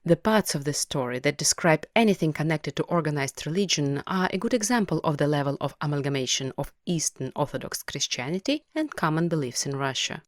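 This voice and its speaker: A woman's voice: sits low, brisk, hardly any pauses, dry recording, studio quality. A woman podcast co-host reading scripted explanatory commentary.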